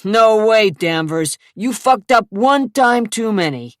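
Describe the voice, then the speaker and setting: Audiobook heavy: woman reader as a snarling, bullying big man, loud and slow, dry sound. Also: deep voice, expressive, no room sound. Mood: menacing. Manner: snarling, barking orders. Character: big brutal male attacker, bullying.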